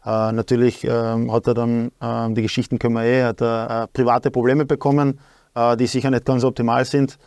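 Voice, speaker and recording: A man's voice: mid-range voice, level tone, brisk, darkish tone, no room sound, studio quality. A man in his thirties with an Austrian accent, a guest talking in a hesitant way with many fillers and restarts. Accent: Austrian German. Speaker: guest, sporting director. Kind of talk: casual talk show chat.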